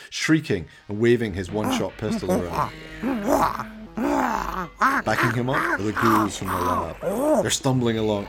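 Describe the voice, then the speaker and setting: A man's voice: lowish voice, noisy background. A man reading scripted radio play narration in a melodramatic storytelling manner.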